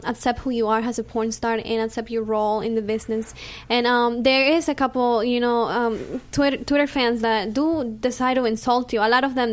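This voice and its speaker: A young woman from Venezuela with a Venezuelan accent, a guest chatting casually in a radio interview, filling her talk with "um" and "you know.". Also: a little high.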